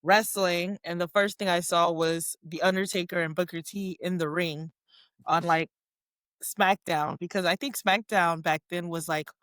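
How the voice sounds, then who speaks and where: A woman's voice: on the low side, a little slow, no echo. A woman in her twenties, born in Haiti and raised in South Florida, chatting as an interview guest and telling stories with lots of "like" fillers. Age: 20s. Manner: chatty, animated storyteller, many "like" fillers. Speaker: guest, professional wrestler. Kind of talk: casual interview chat.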